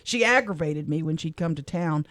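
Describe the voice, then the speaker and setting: A middle-aged woman from Louisiana, a radio co-host, speaking softly and with emotion as she reads a personal letter on air in a studio. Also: low-pitched, expressive, moderate pace, no echo. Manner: heartfelt, soft-spoken, emotional.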